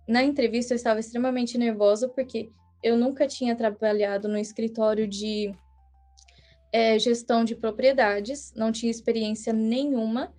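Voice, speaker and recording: A young woman whose first language is English, telling her story as a guest on a podcast in an earnest, measured way with small restarts. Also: a little high, darkish tone. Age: young adult. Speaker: guest missionary. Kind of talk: casual podcast interview.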